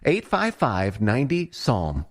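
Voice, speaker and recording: A man reading a scripted radio advertisement conversationally, persuading with measured emphasis. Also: mid-range voice, huge pitch swings. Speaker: commercial announcer.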